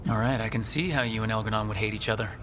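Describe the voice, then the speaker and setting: A man's voice: lowish voice, rapid-fire, music in the background, natural voice. A man playing an earnest, resolute team leader in a video game, talking in a plain, conversational way. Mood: curious, wry. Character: earnest, resolute male hero leading a team. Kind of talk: video game dialogue acting. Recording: echoey hall, clear background noise.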